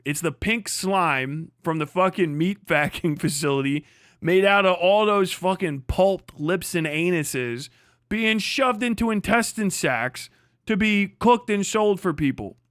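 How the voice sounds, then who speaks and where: A man's voice: a little high, a bit bright, no room sound, clean studio sound. A man livestreaming casual reaction commentary, ranting off the cuff in a profane, mocking, rambling way. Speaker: livestream host.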